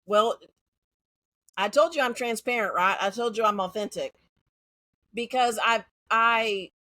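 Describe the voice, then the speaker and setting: A woman's voice: a little high, a little slow, pushed and bright, no echo. A woman from Central Texas with a Texan accent, a guest talking frankly and confidently in a casual interview. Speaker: guest, educator.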